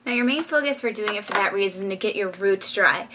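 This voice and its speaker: A woman's voice: mid-range voice, quick pace, sharp tone, slight background noise. A young woman, casually talking through a hair tutorial with frequent ums while styling her hair, recorded on a camera mic in a small bedroom. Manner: chatty, frequent ums, light laughs. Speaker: beauty vlogger.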